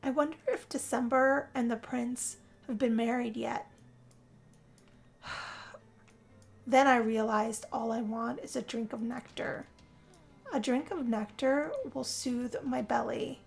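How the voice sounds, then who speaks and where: A woman's voice: on the high side, fairly even intonation, takes it slowly, long pauses. A woman narrating a role-play story in first person as a lovestruck, weary young heroine, in a theatrical, sighing manner. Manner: dramatic, breathy sighing delivery. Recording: music under the voice.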